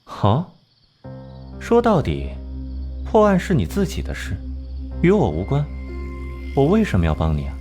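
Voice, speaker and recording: A man acting an aloof, sharp-tongued genius consultant in a Chinese animated crime drama, cool and articulate. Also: medium pitch, a little slow, pauses often, faint music behind. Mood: sardonic, irritated.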